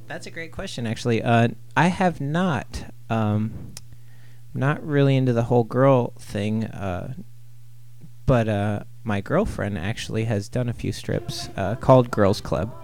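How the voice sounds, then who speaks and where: A man in his thirties from Ohio, answering interview questions in a laid-back, halting way with deadpan humor. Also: mid-range voice, soft background music. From Ohio, USA. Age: 30s. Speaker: guest artist.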